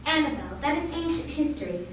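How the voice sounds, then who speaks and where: A woman's voice: sits high, very animated, fast. A woman playing a prim, restrained young woman in a radio play, in an echoey, noisy recording. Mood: prim, scolding. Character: prim, restrained young woman, grieving her close friend. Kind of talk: amateur radio play acting. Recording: very echoey room, distant mic, clear noise.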